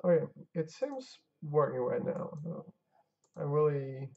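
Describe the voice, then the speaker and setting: A tired game-dev streamer, his Albanian, drifts between mumbling over his code and chatting with viewers. His voice is gravelly and unhurried. The stream audio is dull and lo-fi. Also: a little high, very animated, darkish tone. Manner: muttering to himself while testing, talking to chat. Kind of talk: casual live-stream chat while coding.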